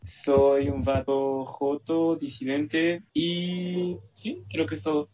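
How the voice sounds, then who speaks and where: A man in his twenties with a Mexican accent, introducing himself in a slow, relaxed way. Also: fairly high pitch, poor audio. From southern Mexico. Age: 20s.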